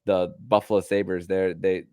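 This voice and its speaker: A man with a very low-pitched voice hosts a casual sports podcast. He speaks haltingly, filling gaps and repeating words. The sound is dry, with no trace of room echo. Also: level tone, dark tone, smooth. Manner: hesitant, fills pauses with "uh" and repeats words. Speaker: podcast host.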